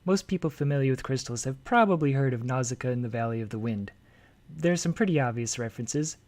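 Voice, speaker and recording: A man's voice: medium pitch, fast talker. A man hosting a video that compares game translations, reading lines of game text aloud and breaking off to comment. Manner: lively, jokey, breaks off to comment with sudden excitement.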